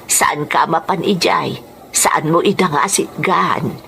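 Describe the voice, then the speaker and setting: A woman's voice: deep voice, slow pace, gravelly, a bit bright, a little hiss or hum, heavily acted. A woman playing a firm, soothing old grandmother in an Ilocano radio drama, with an Ilocano accent. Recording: music under the voice.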